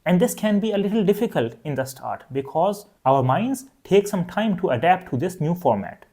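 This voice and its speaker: Man, native Urdu speaker, young adult, online language teacher, clear steady explaining, slightly high voice, very lively intonation. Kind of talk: scripted online lesson.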